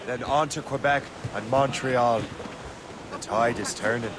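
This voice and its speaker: A man's voice: medium pitch, measured pace, natural voice. A man playing a resolute, somewhat weary young eighteenth-century sea captain, speaking in an understated way in a video game. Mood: dry, resolute. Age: young adult. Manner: understated, laconic. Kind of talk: video game cutscene acting. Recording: clear wind and sea noise behind. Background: boat, wind, water.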